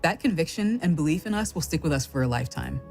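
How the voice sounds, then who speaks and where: A woman's voice: sits low, expressive, quick pace, vocal fry, darkish tone, soft background music. A woman delivering a scripted announcement as a company co-founder addressing a community.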